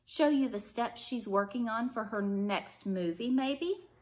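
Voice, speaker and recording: Woman reading as a chatty, slow-paced, gently probing storybook woman. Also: fairly high pitch, natural voice. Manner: chatty, leading questions. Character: Alice, chatty adult woman, delighted then gently probing. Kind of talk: storybook character dialogue read aloud.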